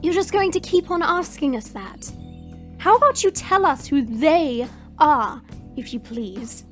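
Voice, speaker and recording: A woman's voice: high-pitched, expressive, measured pace, fairly bright tone, soft background music. A woman playing a haughty, sarcastic nonhuman lady who looks down on humans, in an audio drama. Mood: sardonic. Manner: arch, disdainful, formal sarcasm.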